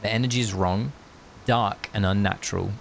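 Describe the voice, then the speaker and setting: A man's voice: fairly low pitch, fairly even intonation, normal speed, gravelly. A man livestreaming a game, chatting casually and reading aloud in a laid-back, matey, jokey way. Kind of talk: casual chat and read-aloud game commentary. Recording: faint hiss.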